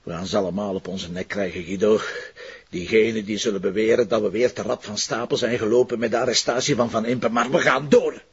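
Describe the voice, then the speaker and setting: An actor with a Flemish accent playing a brusque, determined police detective with a gravelly voice in an audio drama. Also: male voice, lowish voice, steady tone, brisk, dull audio. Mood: frustrated, determined. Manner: brusque, impatient. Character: gruff, determined male police detective in charge.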